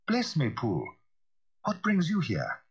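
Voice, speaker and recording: A man acting a concerned, high-pitched gentleman lawyer. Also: wildly swooping pitch, moderate pace, husky, exaggerated voice. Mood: surprised. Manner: formal, questioning, authoritative. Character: concerned, reasonable gentleman lawyer, brisk and proper. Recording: slightly dull, lo-fi audio.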